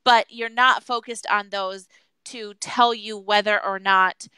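A woman with a bright, very clear voice chats casually as a guest. Her headset gives a dry sound with no room echo, and her way of talking is informal. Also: mid-range voice, fairly even intonation, a little slow. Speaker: guest, marketing consultant. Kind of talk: casual chat. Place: room at home, indoors. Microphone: headset on a video call.

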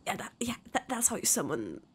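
A woman's voice: on the high side, very animated, fairly quick, breathy edge. A woman streaming a video game and reacting casually as she plays.

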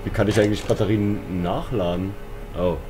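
A man's voice: lowish voice, steady tone, normal speed. A man giving casual, slangy horror game commentary, muttering to himself as he plays. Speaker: let's play streamer. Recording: eerie game music behind voice. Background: horror game music and sounds.

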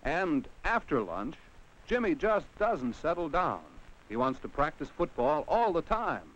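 A man's voice: a little high, big pitch swings, measured pace, fairly bright tone. An American man giving scripted narration in the manner of a 1950s educational film, talking straight to a child with rhetorical questions. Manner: addresses the child on screen directly with rhetorical questions. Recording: dull vintage 1950 film soundtrack.

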